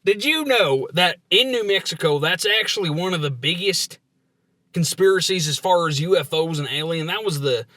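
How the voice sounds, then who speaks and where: Man playing an excitable high-pitched country simpleton. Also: dry recording. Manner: folksy, rambling, stumbling explanations. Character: excitable, slow-witted country man, eager conspiracy theorist. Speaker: podcast co-host. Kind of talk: comedic podcast banter in character.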